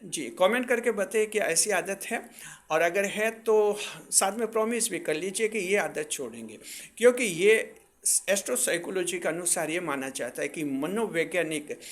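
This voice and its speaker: A man's voice: sits high, expressive, moderate pace. A man presenting astrology advice to viewers in a didactic way, repeating and rephrasing his points for emphasis.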